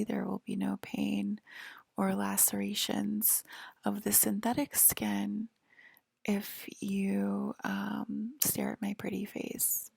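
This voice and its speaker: A woman doing a soft-spoken, whispery ASMR role-play as a calm alien guide, talking haltingly and matter-of-factly close to the mic. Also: medium pitch, no echo. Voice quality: breathy, whispery. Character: calm alien abductor guiding a patient aboard a UFO.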